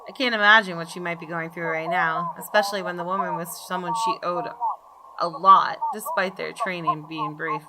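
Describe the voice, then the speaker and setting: A woman reading visual novel story text aloud in a measured, expressive, deliberate way. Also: lowish voice. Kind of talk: dramatic narration of story text. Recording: clearly noisy background.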